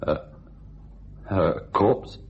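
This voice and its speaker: A man playing a nervous, guilty hotel night clerk in a radio thriller, hesitant and haunted. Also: extremely slow. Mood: nervous, evasive. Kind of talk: radio thriller acting with brooding narration. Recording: dull radio drama recording with music.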